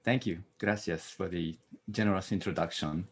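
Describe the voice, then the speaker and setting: A man's voice: low-pitched, normal speed, slightly rough. A middle-aged man from Japan with a Japanese accent, giving an academic lecture over a video call, earnest and full of "uh" fillers. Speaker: guest lecturer.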